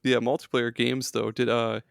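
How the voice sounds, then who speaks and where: Gravelly, profane man chatting on a podcast. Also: medium pitch, flat delivery, moderate pace, no room sound, studio quality. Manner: rambling, swears a lot, many fillers. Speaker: podcast guest. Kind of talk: casual gaming chat.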